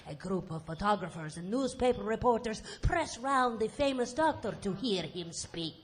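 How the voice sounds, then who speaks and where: An actress playing a campy horror-show host, narrating a live audio play with tongue in cheek. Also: female voice, medium pitch, lively intonation, moderate pace, lightly acted. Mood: mock-dramatic. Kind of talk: live theatrical scripted narration.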